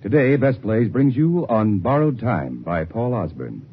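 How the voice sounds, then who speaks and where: A male network announcer reading scripted promotions in a lively, persuasive way. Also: fairly low pitch, a little slow. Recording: tinny old radio broadcast with hiss.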